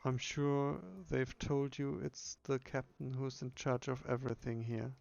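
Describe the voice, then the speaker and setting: Man playing male game captain, commanding, clipped, gravelly, flat pitch, lo-fi recording. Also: measured pace, a little hiss or hum. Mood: stern, assertive. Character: stern, authoritative male squad captain.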